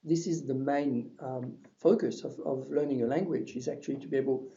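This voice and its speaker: French-accented man, soft dark tone. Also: mid-range voice, fairly even intonation, brisk, vocal fry, dull audio. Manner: hesitant, many restarts and fillers. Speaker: university French lecturer. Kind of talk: webinar presentation.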